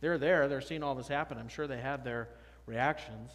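A man's voice: medium pitch, moderate pace, a bit bright. A man in his thirties, a pastor preaching a conversational, emphatic sermon from a pulpit. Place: church sanctuary, at a pulpit. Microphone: pulpit microphone, far camera. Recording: long church reverb.

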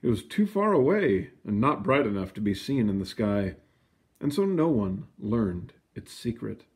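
A man reading a children's storybook aloud in an expressive, explanatory way, with casual asides. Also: fairly low pitch, moderate pace. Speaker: storybook reader.

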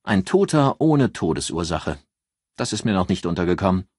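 A man's voice: low voice, sing-song, moderate pace, slightly rough, no room sound, clean studio sound, exaggerated voice. A man voicing a frank, terse female police colleague in a crime audiobook. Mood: matter-of-fact, puzzled.

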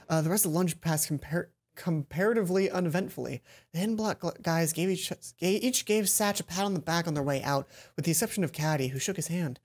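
A young man streaming a visual novel, reading the story aloud on a headset mic with playful, stumbling asides. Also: high-pitched. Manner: playful, self-mocking, stumbles over words. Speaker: let's play streamer. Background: game music.

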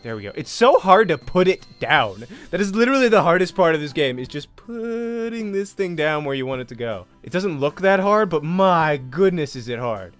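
A young adult man giving excitable let's play commentary into a close desk microphone, exclaiming and repeating phrases as he plays. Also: a little high, normal speed, hardly any pauses, faint music behind. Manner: animated, exclaiming, repeats phrases while playing. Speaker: gaming video host. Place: small indoor room at home. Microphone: close desk microphone, headset on.

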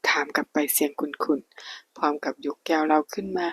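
A woman narrating a novel in a soft, whispering delivery. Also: low-pitched, fairly even intonation, normal speed, slightly rough, no echo. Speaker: storyteller.

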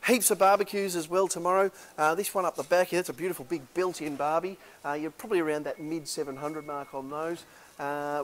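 A middle-aged man, an auctioneer walking through a warehouse and talking up the lots in a chatty salesman patter full of fillers. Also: fairly high pitch. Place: large warehouse indoors.

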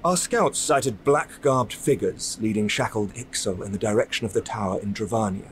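A man playing a noble, courteous elf knight commander in a fantasy game, with formal, polished, measured speech. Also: fairly low pitch, slight background noise, natural voice. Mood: concerned. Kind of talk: formal scripted game dialogue.

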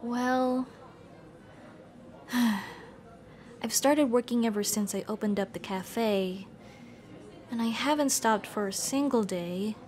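A woman's voice: a little high, slow pace, long pauses, a little mellow. A young woman playing an affectionate, playfully bossy café-owner girlfriend in an ASMR roleplay, speaking expressively to the listener as her partner. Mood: weary, earnest. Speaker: roleplay voice actor.

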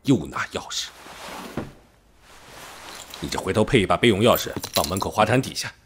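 A man's voice: deep voice, lively intonation, normal speed, background noise. An actor playing a stern, grumbling middle-aged policeman father in an audio drama. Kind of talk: Chinese audio drama acting.

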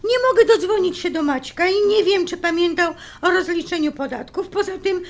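A woman playing an irritable, sharp-tongued middle-aged wife and nurse in an audio drama, indignant and nagging. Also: very high-pitched, moderate pace. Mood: annoyed, worried.

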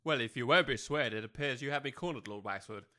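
A man playing a polite, beleaguered but sly gentleman businessman, well-spoken and placating, in a comedy audio drama. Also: mid-range voice, fast, natural voice.

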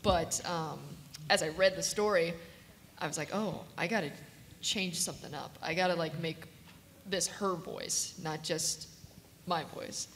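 A woman chatting casually and informally as a panelist, animated and jokey. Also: lowish voice, a little slow. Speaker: convention panelist, voice actress. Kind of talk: casual chat. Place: large convention room with audience. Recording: echoey hall, noisy pickup.

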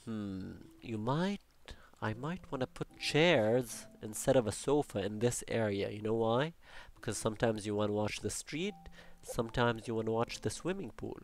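A man's voice: fairly low pitch, lively intonation, normal speed, few pauses. A young man with an Arabic accent, casually commenting while building in a game, rambling with jokey asides and talking to himself. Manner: chatty, rambling, jokey asides and self-talk. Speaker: Minecraft building channel host.

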